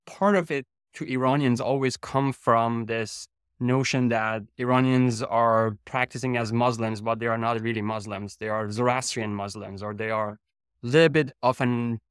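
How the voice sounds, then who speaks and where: A man in his thirties from Iran, raised in Canada, talking as a guest researcher over a video call from a home office, speaking haltingly with many fillers. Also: mid-range voice, smooth, no room sound, studio quality. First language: Persian. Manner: hesitant, searching for words with many fillers. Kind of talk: relaxed video-call interview.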